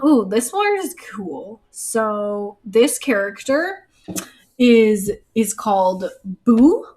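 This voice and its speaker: A young woman streaming live while she paints, chatting casually with lots of fillers, her nose stuffed up. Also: on the high side. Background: soft lo-fi music.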